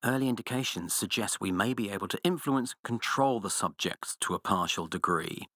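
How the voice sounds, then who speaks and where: An actor playing a cold, pompous, sadistic scientist villain in a video game, speaking with condescending, precise diction. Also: male voice, lowish voice, fairly quick, pushed and bright, dry recording, clean studio sound. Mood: clinical detachment. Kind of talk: video game villain voice acting, monologues and research logs.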